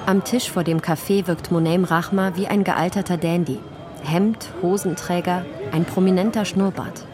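A woman's voice: lowish voice, fairly even intonation, normal speed, noisy background. A woman reading scripted narration for a radio feature as its reporter.

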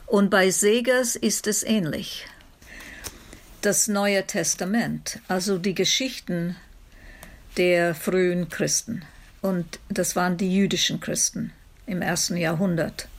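A woman speaking spontaneously as a literary commentator, thoughtful and halting, often seeking agreement with tag questions. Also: lowish voice, steady tone.

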